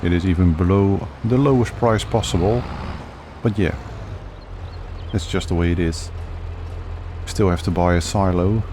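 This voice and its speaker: A man talks through his game in a relaxed, rambling way, with lots of filler words. His voice sits on the low side and sounds a little frayed, as if he is losing it. A clear background hiss runs under the recording. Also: unhurried, long pauses. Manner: relaxed, thinking aloud with many fillers. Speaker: gaming channel host. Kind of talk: casual gameplay commentary.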